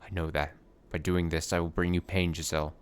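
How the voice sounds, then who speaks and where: A man's voice: low voice, flat delivery, brisk, clear, resonant tone, no room sound, natural voice. A man voicing a tormented young knight, speaking in a solemn, tender way that sometimes turns shaky. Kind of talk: dramatic reading of a story.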